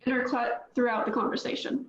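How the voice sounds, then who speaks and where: A woman's voice: a little high, little pitch movement, fairly quick, gravelly, echoey room, highs cut off. A woman reading the stage directions at a script table read in a plain, matter-of-fact way.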